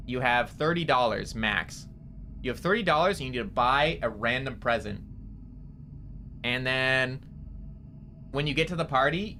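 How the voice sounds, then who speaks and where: A man's voice: mid-range voice, bright, forceful tone, slight background noise. A young man with a nasally voice, rambling casually to his chat as a streamer while playing a game. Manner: rambling, chatty, answering chat.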